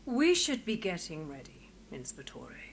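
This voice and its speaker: A woman's voice: fairly low pitch, wildly swooping pitch, normal speed, gravelly, noisy background, full character voice. An actress playing a principled, dignified marshal in a video game, formal and precise in her wording. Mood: dignified, firm. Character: principled, dignified female marshal, firm and proper.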